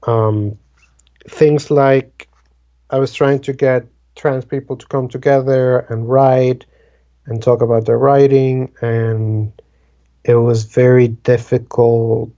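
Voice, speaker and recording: A man in his twenties from the Dominican Republic talks in a slow, unhurried way, with a soft, mellow tone. His casual chat wanders, full of "like" and "um". The sound is dull and lo-fi. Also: medium pitch, little pitch movement. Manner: rambling, many fillers like "like" and "um". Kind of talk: casual conversation.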